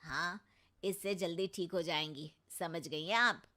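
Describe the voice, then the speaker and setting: A woman's voice: lowish voice, slow pace, sharp tone, pitched below the performer's own voice. A woman voicing a practical male family doctor in a cartoon story, frank and firm.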